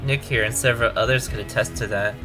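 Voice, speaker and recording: An earnest defense attorney, played by a man, makes his case in a courtroom audio drama. He argues rhetorically and with determination in a middle pitch, over clear background music. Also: steady tone, quick pace, close to an everyday voice. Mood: insistent. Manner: rhetorical, emphatic arguing.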